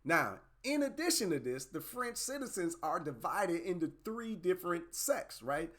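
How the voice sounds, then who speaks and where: A man's voice: high voice, very animated, moderate pace, a bit bright. A man hosting a podcast, giving a casual history talk, often tagging his points with "right?" and restarting with fillers. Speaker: podcast host.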